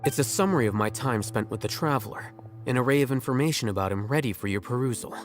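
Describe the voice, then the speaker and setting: An actor playing a composed, aloof, articulate scribe in a video game, with a calm and measured manner. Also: male voice, mid-range voice, lightly acted. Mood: cool, deferential. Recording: game music clearly behind.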